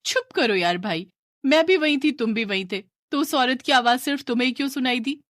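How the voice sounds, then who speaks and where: A woman's voice: high voice, brisk, fairly bright tone, dry recording, clean studio sound, voice pushed up. A woman playing a teasing, fearless young sister in a horror story, with a playful, mocking manner.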